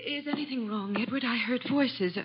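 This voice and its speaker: An actress playing a frail, anxious, hesitant wife in a 1950s radio drama. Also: female voice, on the high side, moderate pace, a crowd in the background, lightly acted. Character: frail, nervous, timid wife. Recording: muffled, very noisy old radio.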